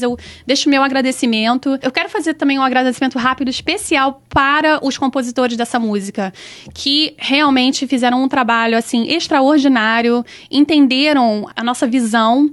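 A woman with a Brazilian accent, a samba school organizer chatting eagerly as an interview guest, restarting her phrases as she goes. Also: high voice. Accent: Brazilian Portuguese. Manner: enthusiastic, talks in quick restarting runs. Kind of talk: casual interview chat.

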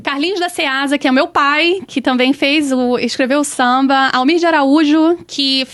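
A woman's voice: high voice, a bit bright. A woman with a Brazilian accent, a samba school organizer chatting eagerly as an interview guest, restarting her phrases as she goes. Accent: Brazilian Portuguese. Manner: enthusiastic, talks in quick restarting runs. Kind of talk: casual interview chat.

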